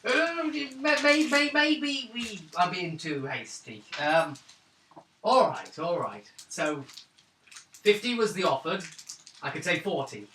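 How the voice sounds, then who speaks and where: A man's voice: high voice, big pitch swings, takes it slowly, sharp tone, a little hiss or hum, pitched above the performer's own voice, full character voice. A man voicing a flustered village merchant who stammers while bargaining in a tabletop game. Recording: roomy table recording from a distance.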